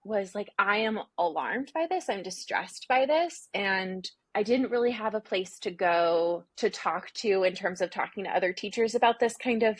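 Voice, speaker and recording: A woman's voice: medium pitch, husky, no echo. A woman from Singapore talking candidly and informally as an interview guest, reflecting and voicing her inner dialogue. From grew up in Singapore. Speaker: interview guest, therapist and former teacher.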